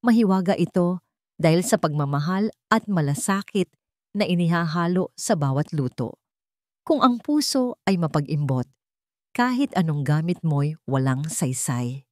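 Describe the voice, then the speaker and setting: A woman voicing a low, kindly old Filipino grandmother. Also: expressive, a little slow, a little mellow, dry recording, clean studio sound. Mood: earnest, admonishing. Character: kindly wise old village grandmother, a cook.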